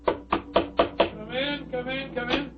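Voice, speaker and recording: A high-pitched, bumbling English doctor. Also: male voice, little pitch movement, extremely slow, over loud music, full character voice. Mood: genial, reminiscing. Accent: British. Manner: bumbling, stammering, repeats himself. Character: genial, flustered English doctor, fond husband and storyteller. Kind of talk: 1940s radio acting. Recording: muffled vintage 1940s radio recording.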